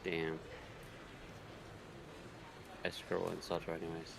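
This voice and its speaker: A man's voice: low voice, monotone, takes it slowly, creaky, breathy edge. A man co-hosting a livestream, trading casual banter and reading game text aloud. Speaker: co-host of a visual novel readthrough. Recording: music playing behind the voice. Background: game music.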